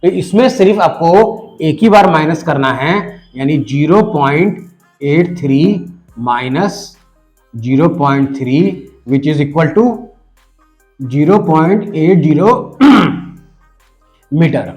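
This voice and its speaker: A man with a hoarse voice from a cold, patiently explaining a math lesson step by step and talking straight to the viewer. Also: a little high, animated, a little mellow, faint music behind. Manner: patient, addresses the viewer directly, repeats numbers slowly. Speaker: math teacher.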